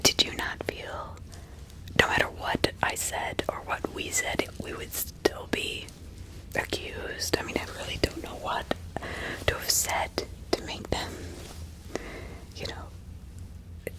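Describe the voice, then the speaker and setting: A woman speaking in a breathy, hushed whisper as an ASMR host, reading and role-playing in an informal, conversational way. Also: slow pace, long pauses. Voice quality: breathy unvoiced whisper with some crackle.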